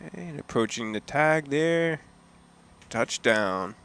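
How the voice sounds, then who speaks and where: A man calmly commentating on a live freediving competition, admiring and easygoing, with frequent filler pauses. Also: mid-range voice, clean tone. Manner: relaxed, admiring, many fillers. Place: outdoors at a seaside dive event.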